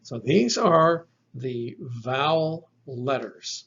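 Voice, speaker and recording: A man lectures at an unhurried, deliberate pace, his voice gravelly and a little husky. He spells out letters and repeats each sound twice so students can follow. The recording is dull and lo-fi. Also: medium pitch, big pitch swings, a bit bright. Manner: slow, methodical, repeats each sound for the listener. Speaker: Greek language teacher.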